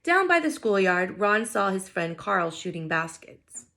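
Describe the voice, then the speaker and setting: A woman reading a picture book aloud to children in an expressive way, pausing to think out loud in wondering asides. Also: medium pitch, vocal fry. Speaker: read-aloud teacher.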